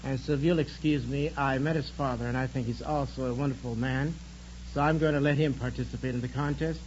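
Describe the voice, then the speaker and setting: A dulled 1950s broadcast with a faint noisy hum holds the voice of an American man. He is a priest answering a quiz host's questions at an ordinary male pitch, sincere and courteous as he explains. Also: fairly even intonation, a little fast, a little mellow. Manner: earnest, polite, explanatory. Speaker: priest, quiz show contestant. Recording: dull, noisy, humming 1950s broadcast recording.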